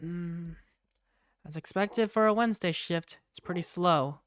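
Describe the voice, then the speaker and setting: A woman reading first-person narration and lead lines for a game fandub in an expressive, conversational way. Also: fairly low pitch, a little slow. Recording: faint music behind the voice.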